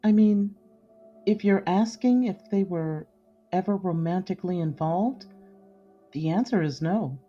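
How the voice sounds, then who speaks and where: A reluctant witness answers in a low, mellow voice, as an actress plays her, keeping her replies guarded. Her sound is dull and muffled, and faint music sits beneath. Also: unhurried. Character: guarded female witness under oath.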